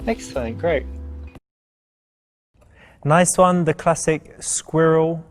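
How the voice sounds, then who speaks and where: A man's voice: fairly high pitch, takes it slowly. Casting: friendly single man, informal and chatty dating-game lead, music underneath. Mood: casual, advising. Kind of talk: casual conversational acted scenes and advice. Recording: music behind the voice.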